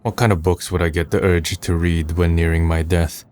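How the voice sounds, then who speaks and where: A man voicing a weary, cynical young man in a manga dub, with a reflective, low-key and dryly blunt delivery. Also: flat delivery, normal speed, a little mellow, close to an everyday voice. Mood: low-key, casual. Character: weary, cynical young man narrating his final days. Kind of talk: fan manga dub, first-person narration and dialogue.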